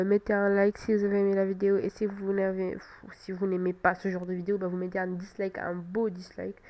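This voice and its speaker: A woman's voice: medium pitch, warm tone. A young woman with a cold-stuffed nose, chatting casually over a game she plays and often making fun of herself. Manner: chatty, self-mocking, laughs often. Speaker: gaming channel host. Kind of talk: casual gameplay commentary.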